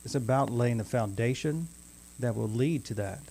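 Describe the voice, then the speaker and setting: A man's voice: mid-range voice, moderate pace, vocal fry, mellow, a little hiss or hum. A man co-hosting a podcast, chatting casually with dry joking asides. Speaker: podcast co-host. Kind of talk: casual chat.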